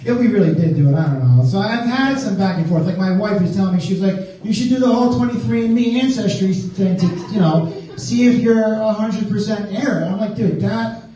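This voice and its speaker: Guy from staten island doing standup, high voice, cussing a lot, echoey club, crowd laughing. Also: a little fast, dark tone, recorded from a distance. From Staten Island, New York. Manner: profane, riffing, quizzing the crowd. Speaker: stand-up comedian. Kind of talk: stand-up comedy set. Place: comedy club stage. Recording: echoey club, picked up from afar. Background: laughing audience.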